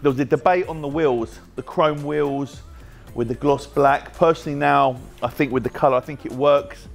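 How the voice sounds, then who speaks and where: A man's voice: mid-range voice, moderate pace, vocal fry, faint music behind. A British man in his thirties, hosting a casual, jokey walkaround of his car wrap workshop and chatting with his staff as he goes. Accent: British English. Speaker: car wrap shop owner and host. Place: large bright indoor car workshop.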